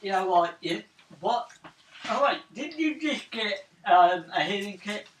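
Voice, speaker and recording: A man's voice: high voice, lively intonation, a little slow, fairly bright tone, a little hiss or hum. A man playing a tabletop game who teases the others and asks rule questions. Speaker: player. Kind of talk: casual banter at a game table. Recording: roomy, distant table recording.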